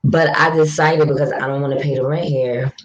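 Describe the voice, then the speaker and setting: A young woman from New York with a low, deep voice talks frankly and at length to her livestream viewers. She answers their comments in an easy, chatty way. A faint noise sits under the recording. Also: fairly even intonation, fairly quick, rough recording. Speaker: livestream vlogger. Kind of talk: casual live chat, answering viewer comments.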